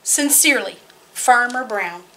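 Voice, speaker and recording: A woman voicing a furious, stern farmer who demands milk and eggs, in a bright, slow read-aloud voice. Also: fairly high pitch, animated, low-quality recording.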